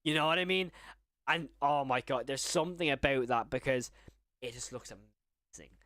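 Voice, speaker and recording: A young man with a slight stutter, chatting excitedly on a live stream and often restarting his sentences. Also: mid-range voice, moderate pace, no echo, studio quality. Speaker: horror YouTuber streaming live. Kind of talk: casual live-stream chat.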